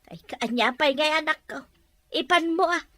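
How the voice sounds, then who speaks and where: A woman's voice: high-pitched, normal speed, a bit bright, no echo. A woman with a Filipino accent playing a practical, outspoken mother in an audio drama. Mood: matter-of-fact. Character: practical, outspoken mother fussing over family. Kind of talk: Ilocano audio drama acting.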